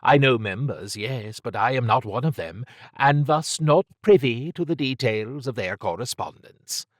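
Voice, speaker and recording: A man voicing a worldly, lazily amused woman thief who speaks in formal, measured phrases. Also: mid-range voice, animated, dry recording, studio quality, voice pushed up. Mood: grave.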